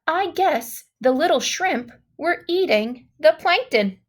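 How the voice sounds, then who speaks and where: A woman reading a children's story aloud in an expressive, dramatic way, leaning on key words and exclamations. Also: high voice, slow pace. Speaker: storybook reader.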